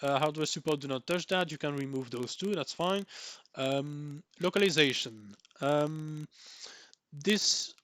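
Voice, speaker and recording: A young man from Belgium whose first language is Dutch, talking through a software tutorial, repeating words for emphasis with many ums and restarts. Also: fairly high pitch, measured pace, no echo, clean studio sound. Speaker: tech tutorial creator. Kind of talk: screen-recorded software tutorial.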